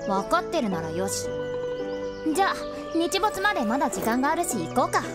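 A woman playing a cheeky but caring young boy companion in a voice drama, boyish, casual and expressive. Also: sits high, fairly quick, over loud music. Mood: scolding, caring. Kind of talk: Japanese voice drama acting.

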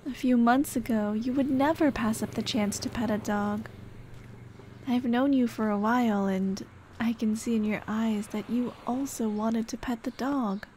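A woman acts out a tender, playful girlfriend comforting her partner in an ASMR roleplay. Her voice is fairly high and unhurried, gentle and teasing. Clear ambient effects run behind her. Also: fairly even intonation, slight background noise, close to an everyday voice. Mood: wistful, gentle. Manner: gentle, teasing, coaxing, sighing. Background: ambient sound effects.

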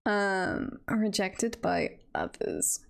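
A woman talks casually on a livestream in a mid-pitched voice with heavy creak. She takes her time, hesitating as she chats to her viewers and weighs up rankings. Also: wildly swooping pitch, extremely slow. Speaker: streamer. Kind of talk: casual livestream chat, ranking tier lists.

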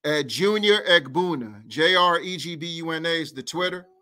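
A middle-aged man holds forth over a video call, his voice coming through a headset mic from a room at home. He sits high in his range with a bright, forceful edge. He stacks phrase on phrase in rhythmic, repetitive oratory, like a speaker working a crowd. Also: moderate pace. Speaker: guest activist. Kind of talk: impassioned political speech in an interview.

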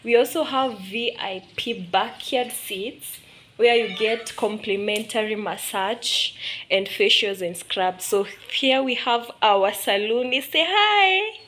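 Kenyan woman showing off a salon, talks slow and chatty, filming on her phone, faint room noise. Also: fairly high pitch, fairly bright tone. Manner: chatty, mixes Swahili phrases into English. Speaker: salon tour guide. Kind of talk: walking tour vlog. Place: salon and barbershop interior.

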